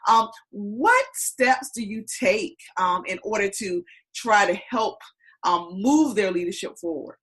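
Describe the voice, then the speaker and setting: A woman's voice: mid-range voice, big pitch swings, unhurried, fairly bright tone, rough recording. A woman hosting a podcast interview, asking questions and encouraging her guest. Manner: encouraging, affirming.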